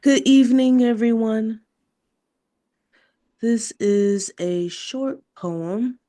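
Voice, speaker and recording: Poet, mid-pitched and dark-toned, very unhurried, gentle reading. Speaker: poet at an open mic. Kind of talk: poetry reading. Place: online video gathering.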